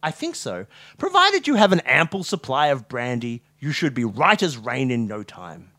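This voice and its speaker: A man voicing a measured, tactful army hospital doctor in a comic story, formal and explanatory. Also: mid-range voice, huge pitch swings, pushed and bright, no echo, exaggerated voice. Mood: formal, reassuring.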